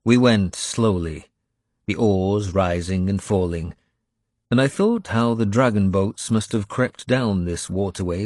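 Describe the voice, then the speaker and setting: A man narrates a historical adventure in the first person. His voice is low and the sound is dry, with a dramatic, scripted storyteller's delivery. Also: a little mellow, dry recording. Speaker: audiobook narrator.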